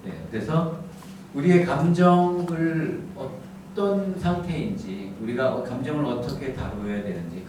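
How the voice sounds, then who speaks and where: A middle-aged man from the Gyeongsang region who speaks with a standard Seoul-area accent, preaching in a soft, humorous storytelling manner. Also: medium pitch, far from the mic. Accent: standard Seoul-area Korean. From Gyeongsang region, South Korea. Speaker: pastor. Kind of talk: lecture-style sermon. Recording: echoey hall miked from afar, background noise.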